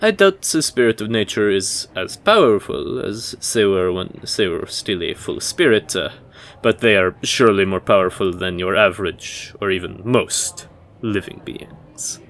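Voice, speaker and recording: Casting: male actor as reserved fantasy academy professor, fairly deep voice, dry and formal lecturing for audio drama. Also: lively intonation, measured pace, a bit bright.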